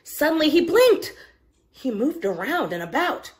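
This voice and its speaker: A woman telling a story to young children in an expressive, engaging way, with asides to her listeners. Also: sits high, measured pace.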